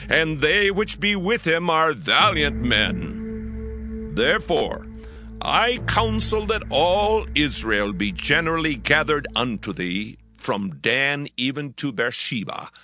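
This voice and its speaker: An actor playing a shrewd royal counselor in a dramatized scripture reading, pleading his case slowly and with weight. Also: a little high. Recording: music bed behind voice.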